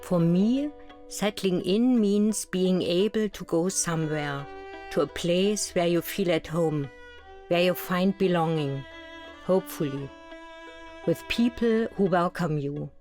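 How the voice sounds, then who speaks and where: A woman's voice: on the low side, takes it slowly, darkish tone, faint music behind. An actress playing an East German woman recalling her youth in plain, reflective documentary narration. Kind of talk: scripted first-person documentary narration.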